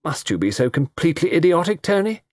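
A man voicing a very young, haughty society woman with a crisp, chiding manner. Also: lowish voice, expressive, brisk, no room sound, studio quality. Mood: cutting.

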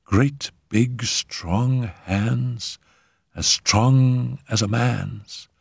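A man's voice: mid-range voice, steady tone, extremely slow, gravelly, breathy edge, no echo, studio quality, pitched above the performer's own voice, full character voice. A man voicing an exhausted, anxious woman who pleads with agitated, emphatic repetition in a dramatic story reading. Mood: uneasy, dreading. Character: anxious, exhausted, high-strung Frenchwoman, a spirit medium.

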